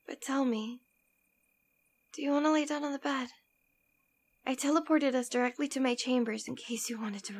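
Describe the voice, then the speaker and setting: A woman voicing a demon queen in a scripted ASMR roleplay, speaking in a theatrical, intimate and deliberate manner. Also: a little high. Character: demon queen, imperious and possessive, secretly tender lover.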